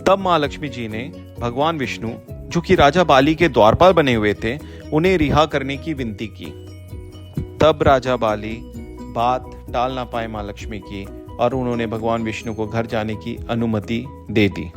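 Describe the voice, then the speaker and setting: A man plays a father telling mythological tales to his child in a children's story video. He explains things in simple words, at an average pitch, with music playing behind him. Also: measured pace, natural voice. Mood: explanatory.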